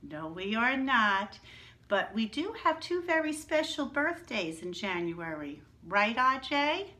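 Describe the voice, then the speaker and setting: A woman teaching young children in a slow, sing-song way, asking questions and answering them herself. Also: on the high side, big pitch swings, pushed and bright. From New York, United States. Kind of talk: read-aloud lesson for young children.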